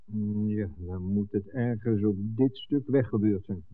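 An actor playing a practical, thoughtful local man in a 1960s radio drama, speaking in a matter-of-fact, deliberate way. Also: on the low side, no room sound, close to an everyday voice. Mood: pondering. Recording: dry, phone-like old radio recording.

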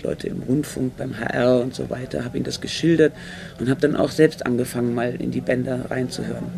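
An actor playing an earnest, quietly awed man giving an informal interview in a radio mock documentary. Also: medium pitch, creaky, lightly acted. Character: earnest man who discovered a dead artist's tapes. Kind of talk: spontaneous-sounding interview in a fictional documentary.

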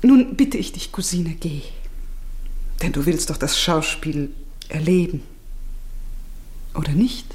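Ernste, bittere junge Adlige. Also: female voice, low-pitched, expressive, takes it slowly, long pauses, a little mellow. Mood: cutting. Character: grave, bitter young noblewoman and mother. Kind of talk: 1950s German radio drama acting.

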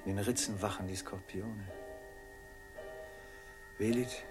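A man's voice: fairly low pitch, steady tone, slow, over music. An actor playing a haunted, brooding merchant in a German radio play, grave and fatalistic in his delivery.

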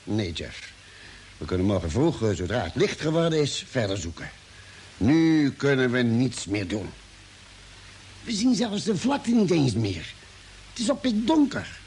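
An actor playing a cautious, sceptical doctor on a space expedition, reasoning and worried, in a vintage radio drama. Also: male voice, mid-range voice, big pitch swings, unhurried, long pauses, darkish tone. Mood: worried, cautioning. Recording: faintly noisy vintage radio recording.

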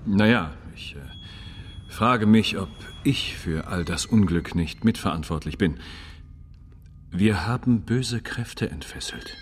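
An actor playing a troubled, guilt-ridden priest in a German radio crime drama. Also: male voice, sits low, lively intonation, slow, big-room sound, a little hiss or hum. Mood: brooding, guilty.

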